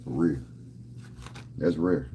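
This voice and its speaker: A man's voice: very deep voice, takes it slowly, heavy background noise. A man in his thirties talking casually to camera, boastful and rambling, full of street slang. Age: 30s. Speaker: vlogger.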